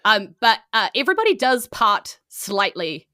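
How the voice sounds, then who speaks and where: Bright New Zealand woman running a game. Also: on the high side, big pitch swings, moderate pace, no echo, clean studio sound. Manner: informal, conversational, amused. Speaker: game master. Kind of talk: improvised tabletop game narration.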